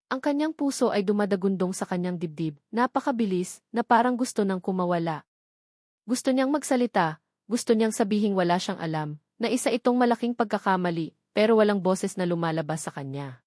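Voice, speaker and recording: A woman's voice: medium pitch, expressive, fairly quick, a little mellow, no room sound, clean studio sound. A woman narrating a scripted melodramatic story in a suspenseful, emphatic manner.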